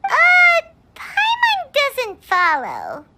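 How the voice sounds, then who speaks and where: A woman voicing a small, blunt, excitable childlike fairy companion in a video game. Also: extremely high pitch, extremely slow, heavy background noise, heavily acted. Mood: puzzled. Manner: refers to herself in third person, exclamatory. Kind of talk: video game character acting.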